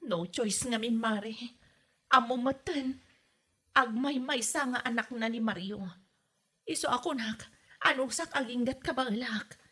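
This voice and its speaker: A woman's voice: on the high side, measured pace, pauses often, sharp tone, slightly rough, heavily acted. A woman with an Ilocano accent playing an exasperated, complaining young wife in a comedy drama. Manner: complaining, emphatic. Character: exasperated young wife fed up with meddling mother-in-law.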